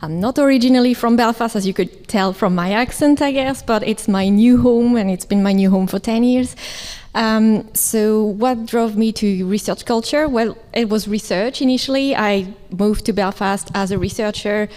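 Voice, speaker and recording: A woman's voice: mid-range voice, moderate pace, darkish tone. A woman who manages research culture at a university, introducing herself on a conference panel in an upbeat, earnest way. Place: large conference hall. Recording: reverberant hall.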